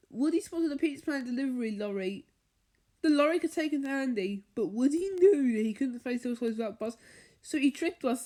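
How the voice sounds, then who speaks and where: A woman reading a children's bedtime story aloud, haltingly, stumbling over and repeating words as she goes. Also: high-pitched. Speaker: storybook reader.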